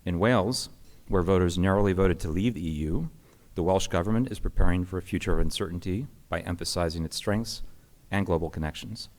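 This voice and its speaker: A man with a very low voice reads a prepared introduction at a podium in a conference hall. His delivery is measured and formal, with an occasional stumble he corrects. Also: a little mellow. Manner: measured, formal reading with small slips. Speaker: host introducing a guest.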